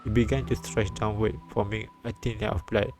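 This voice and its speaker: A man reading a visual novel aloud on a live stream, with halting restarts and bits of commentary. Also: on the low side, flat delivery, noisy background. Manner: halting, repeats and restarts lines. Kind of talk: live read-aloud translation and narration with commentary. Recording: background music behind the voice.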